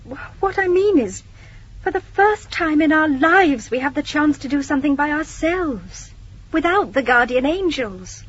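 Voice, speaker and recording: An actress playing an eager, earnest young spaceship crew member in a radio drama, speaking emphatically. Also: female voice, high voice, animated, unhurried. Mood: pleading. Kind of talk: 1980s radio drama acting. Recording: dull old radio recording.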